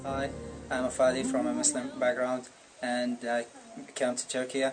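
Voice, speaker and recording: Even-toned man with an Iraqi accent. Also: medium pitch, over music. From Iraq. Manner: halting, simple non-native English. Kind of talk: personal testimony of thanks. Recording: clear background ambient noise.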